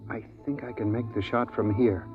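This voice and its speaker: An actor playing an earnest, excitable trophy-hunting client in a 1950s radio drama. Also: male voice, lowish voice, normal speed, natural voice. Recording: dull vintage radio recording, music behind.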